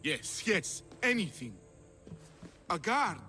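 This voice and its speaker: A man's voice: high voice, sing-song, slow, bright, forceful tone, soft background music, exaggerated voice. An actor playing a fearful male artist on the run in a video game, agitated and earnest. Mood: panicked. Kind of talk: video game cutscene acting.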